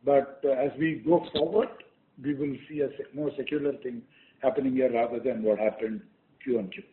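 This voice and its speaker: A man's voice: medium pitch, fairly quick. A man explaining company results as an executive on an earnings call. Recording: narrow phone-line sound.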